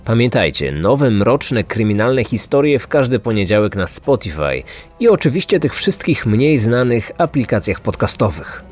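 A man hosting a podcast, reading scripted true-crime narration like a measured storyteller. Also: mid-range voice, big pitch swings, a little mellow, breathy edge. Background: faint music.

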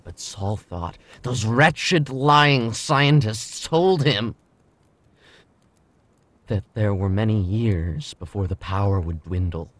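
A bitter henchman narrating an audio drama. The voice is deep and brooding, telling its grim account in measured phrases. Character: grim, bitter male henchman recounting events.

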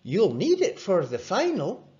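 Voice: medium pitch, wildly swooping pitch, measured pace, darkish tone, lo-fi sound, pitched above the performer's own voice. A narrator voicing an eager schoolboy, a friend in a children's story. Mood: eager, concerned. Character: Angus, eager boy friend of Rory.

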